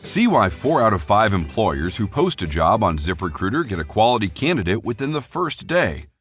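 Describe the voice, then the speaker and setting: A man's voice: fairly low pitch, very animated, moderate pace, fairly bright tone, soft background music. A man reading a scripted commercial as an announcer. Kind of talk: scripted ad narration.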